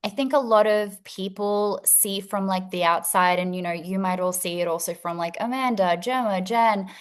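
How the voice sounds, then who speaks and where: A woman's voice: medium pitch, moderate pace, clean tone. Woman, second-language English, casual chat, open and chatty, laughs often. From Italy. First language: Italian. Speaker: featured guest educator. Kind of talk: casual chat on a video call.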